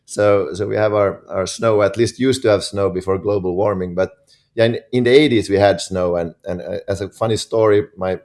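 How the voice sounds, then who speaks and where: A man in his forties with a Finnish accent, a podcast guest who talks in an easy, relaxed way and often repeats words while he gets going. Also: lowish voice, moderate pace. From Finland. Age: 40s. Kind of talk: casual interview talk.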